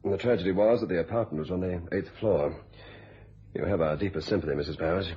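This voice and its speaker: An actor playing a courteous, businesslike police inspector in a radio thriller, with a British accent and a polite, formal manner. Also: male voice, low-pitched, fast, mellow, a little hiss or hum, natural voice. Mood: formal sympathy. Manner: polite, formal, matter-of-fact. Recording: dull lo-fi radio sound.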